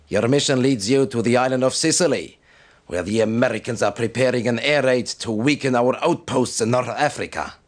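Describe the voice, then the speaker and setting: An actor playing a stern, devout military commander who gives solemn, formal and deliberate briefings in a video game. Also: male voice, mid-range voice, natural voice. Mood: formal, approving.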